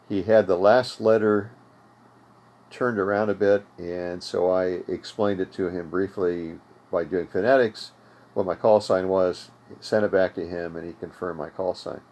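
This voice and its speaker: A man's voice: low-pitched, darkish tone. An older American man hosting an informal, unscripted hobby tutorial in a conversational, explanatory way. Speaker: host of an amateur radio tutorial series. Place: home radio room.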